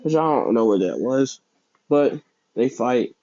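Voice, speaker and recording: A young man casually telling a fan fiction story out loud, rambling and correcting himself as he goes. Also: mid-range voice, lively intonation, a little slow, highs cut off. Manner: rambling, self-correcting, many fillers.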